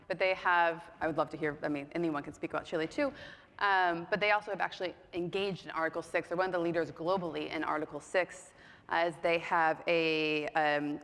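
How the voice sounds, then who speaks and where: Woman panel moderator, lowish voice, chatty and self-deprecating, miked in a reverberant conference hall. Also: fairly bright tone. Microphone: mic on stage. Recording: echoey hall.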